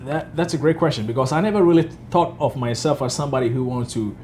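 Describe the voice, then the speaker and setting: A man's voice: medium pitch, darkish tone, a little hiss or hum. Earnest man from Ghana, physician guest. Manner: earnest, repeats "yes, yes" in quick agreement. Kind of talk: casual interview talk.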